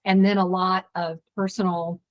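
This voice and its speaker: Lively middle-aged woman guest. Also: fairly low pitch, moderate pace. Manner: lively, laughs often, self-deprecating. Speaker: guest, executive trainer. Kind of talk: casual interview chat.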